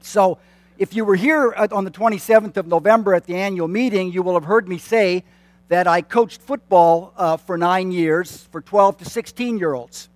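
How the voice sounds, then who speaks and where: A man in his late fifties speaks to a church congregation with a friendly, self-deprecating manner, pausing on plenty of fillers and restarting his sentences. His voice sits high for a man, and the sound is dry and close, with little room echo. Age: 50s. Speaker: church consultant. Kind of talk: announcements to a congregation. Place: church sanctuary.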